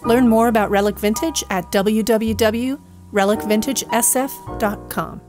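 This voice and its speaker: A woman's voice: on the high side, fairly even intonation, unhurried, music in the background. A woman announcer delivering scripted narration in a radio-play style.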